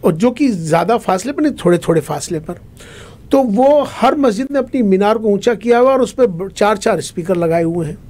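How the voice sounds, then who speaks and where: Man, imam interviewee, high-pitched, rough husky voice, conversational, emphatic, repetitive phrasing. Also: lively intonation, normal speed, a little mellow. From India. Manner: emphatic, repetitive, rhetorical questions.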